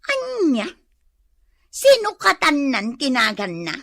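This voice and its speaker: A woman's voice: high voice, huge pitch swings, slow pace, gravelly, a bit bright, dry recording, heavily acted. A woman with a Filipino accent playing a poor, whiny mother who pleads and complains, in a comedic radio drama. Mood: complaining.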